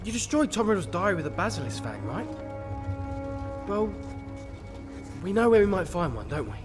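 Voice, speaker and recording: Jumpy British teen wizard. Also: male voice, sits high, sing-song, moderate pace. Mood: wary. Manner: plain-spoken, uneasy. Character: nervous, grumbling, loyal teenage boy wizard. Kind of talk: video game voice acting. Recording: hall reverb under music.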